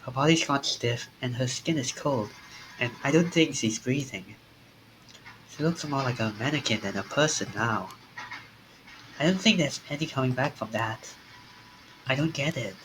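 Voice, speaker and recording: A man's voice: medium pitch, normal speed, pauses often, slight background noise, lightly acted, rough recording. A man reading as a shaken young man. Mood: grieving, resolved. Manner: stammering, panicky, then quietly resolved. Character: frightened young man, friend has collapsed. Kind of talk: reading a visual novel aloud. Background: game music.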